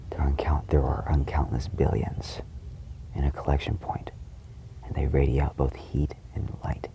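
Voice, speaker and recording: Super deep guy half whispering, gravelly and breathy, slow serious monologue, halting and repeats himself. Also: dark tone, highs cut off. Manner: halting, repetitive, solemn.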